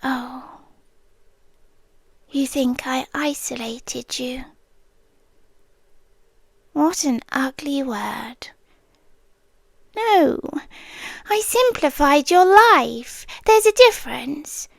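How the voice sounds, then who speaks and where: British woman doing a clingy guardian angel, high voice, super slow soft half-whispers right on the mic, dry sound. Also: gravelly, no room sound, full character voice. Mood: wounded, possessive. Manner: calm, coaxing and slow. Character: possessive female guardian angel, obsessive, smothering. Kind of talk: ASMR roleplay.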